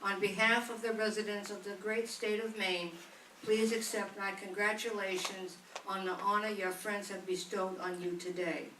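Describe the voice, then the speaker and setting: Woman at mid pitch, measured formal reading, distant mic in an echoey hall. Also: flat delivery, bright, forceful tone. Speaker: speaker reading a letter aloud. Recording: echoey room from a distance with faint noise.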